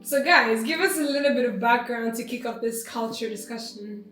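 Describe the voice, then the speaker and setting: Woman off camera, somewhat high voice, feeds interview questions, roomy sound, faint music. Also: fairly quick, a bit bright. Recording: echoey room with music behind.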